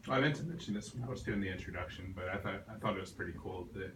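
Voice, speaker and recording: Male event host, fast and informal, lowish voice, distant echoey pickup. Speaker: host of the reading. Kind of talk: casual chat. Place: bookstore event space. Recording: echoey, distant, noisy room.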